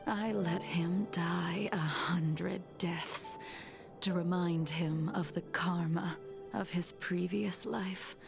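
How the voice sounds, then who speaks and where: A cool, whispery sword master. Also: female voice, on the low side, fairly even intonation. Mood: cold, reflective. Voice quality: soft breathy half-whisper. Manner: slow, measured, detached delivery. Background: game music.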